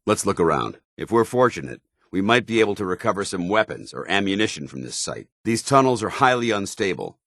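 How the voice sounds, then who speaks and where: An actor playing a stern, disciplined armored soldier in a video game, speaking in formal, clipped military phrases. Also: male voice, lowish voice, big pitch swings, brisk, creaky, hardly any pauses, fairly bright tone, dry recording, studio quality, full character voice. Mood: commanding. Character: stern, dutiful armored soldier paladin, grown man, devout and disciplined. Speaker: companion character. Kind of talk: scripted video game dialogue.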